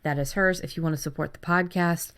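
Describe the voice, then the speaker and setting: Woman in her thirties, low voice, podcast co-host, effusive, emphatic, chatty. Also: fairly even intonation, brisk, a bit bright, clean tone. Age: 30s. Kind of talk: casual podcast chat.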